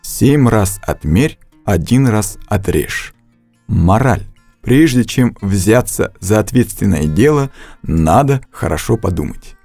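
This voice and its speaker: Slow, lively-pitched man narrating a lesson. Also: creaky, no echo, faint music behind. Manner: slow, deliberate instructional delivery. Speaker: language course narrator.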